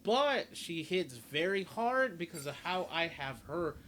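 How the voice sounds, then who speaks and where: A man's voice: a little high, very animated, measured pace, a bit bright. A man giving a casual game character guide, rambling and often repeating and rephrasing himself. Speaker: gaming video host. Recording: clearly noisy home recording.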